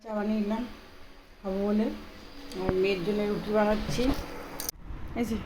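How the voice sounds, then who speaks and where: A woman's voice: mid-range voice, flat delivery, normal speed, warm tone, slight background noise, rough recording. A young woman, chatting casually as she narrates a daily home vlog. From India, Bengal region. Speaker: vlogger, mother of a small child.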